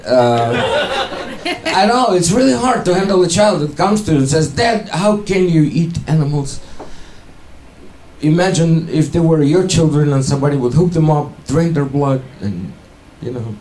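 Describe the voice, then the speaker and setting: Man with a Russian accent, deadpan. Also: a little high. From Ukraine. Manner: deadpan, halting, with many fillers. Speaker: stand-up comedian. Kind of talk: stand-up set. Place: comedy club with a crowd. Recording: echoey club, loud room noise.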